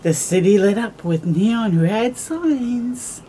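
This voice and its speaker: A woman's voice: mid-range voice, noisy background. A middle-aged woman hosting a children's storytime, reading a picture book aloud expressively with little asides, recorded outdoors on a camera close by. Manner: expressive, slow storytelling with gasps and drawn-out words. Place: outdoors, porch or yard in early morning.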